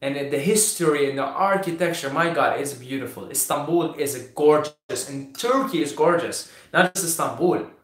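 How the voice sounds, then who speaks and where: A man's voice: fairly high pitch, normal speed, fairly bright tone. Syrian-born man answering questions in an echoey room. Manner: repeats words, many fillers and restarts. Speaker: host answering viewer questions. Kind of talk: casual live Q&A.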